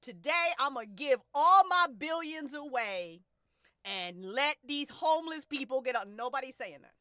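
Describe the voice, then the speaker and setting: A woman hosts a relaxed chat about a story she wrote. Her voice is bright and very animated in pitch, recorded dry and close. She talks in expressive slang and swears freely. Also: moderate pace, no echo. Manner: prone to laughing as they talk. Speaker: host and writer of a fiction podcast. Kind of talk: casual discussion of an audio drama.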